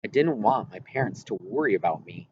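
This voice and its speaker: A man's voice: fairly low pitch, lively intonation, a little fast. A man reading a first-person story aloud as scripted audiobook narration. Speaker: audiobook reader. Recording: muffled, clearly noisy home recording.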